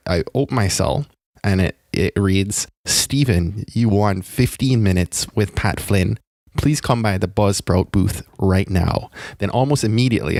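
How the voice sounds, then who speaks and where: A man hosting a podcast, telling personal stories and interviewing in an informal, excited manner. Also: lowish voice, dry recording, clean studio sound. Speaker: podcast host.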